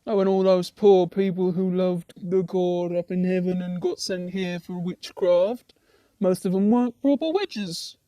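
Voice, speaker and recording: This is a woman's take on an ordinary demon who is thoroughly at ease in hell. The voice is dark and relaxed, recorded very dry. The talk wanders casually in colloquial turns, with a mild teasing edge, as in a comic puppet show. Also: lowish voice, measured pace, no echo, natural voice. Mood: wry, rambling. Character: laid-back, chatty, ordinary demon lounging in hell.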